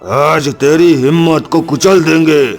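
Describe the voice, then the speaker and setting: A man's voice: fairly high pitch, soft background music. A man playing an arrogant, cruel monster in a cartoon story, taunting like a villain. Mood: menacing, taunting. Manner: slow, taunting villain delivery.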